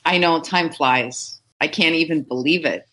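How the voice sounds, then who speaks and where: A woman in her fifties from Canada, talking over a video call, with a bright, forceful voice pitched a little low. Serbian was her first language, and she chats freely, laughing often and stumbling over a word now and then. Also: a little slow, breathy edge, rough recording. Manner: chatty, laughs often, restarts words. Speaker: podcast guest. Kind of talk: casual interview chat.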